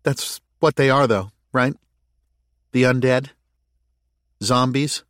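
A man voicing an earnest, higher-pitched questioning woman. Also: slow pace, fairly bright tone, no echo, clean studio sound. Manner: tentative, questioning, thinking aloud. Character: earnest, thoughtful woman reasoning through a mystery. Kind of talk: audiobook character dialogue.

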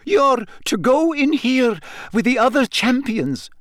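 An anxious older witch professor from a fantasy storybook, acted out by a male narrator, speaks in a raised, shaky voice. She is brisk and urgent, trying to keep order through her nerves. The sound is dry and close. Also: sits high, big pitch swings, no room sound, pitched above the performer's own voice.

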